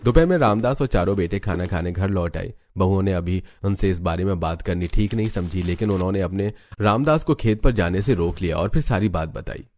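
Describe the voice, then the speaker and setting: A male narrator reads a scripted cartoon story in a fairly low voice. There is faint noise in the recording. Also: fairly quick, few pauses, a little mellow.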